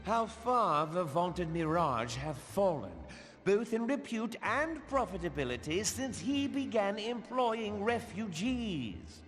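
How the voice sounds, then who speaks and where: An actor playing a pompous, scornful, wealthy merchant lord in a fantasy game, speaking in formal archaic phrases. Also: male voice, high voice, very animated, slow, fairly bright tone, full character voice. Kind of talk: scripted fantasy game voice acting. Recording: long hall reverb, music underneath.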